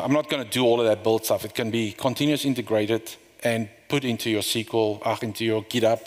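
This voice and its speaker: A middle-aged man giving a conference talk, walking through a live software demo in a loose, rambling way with fillers and restarts. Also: mid-range voice. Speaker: conference speaker. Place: conference room. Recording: long reverb of a hall.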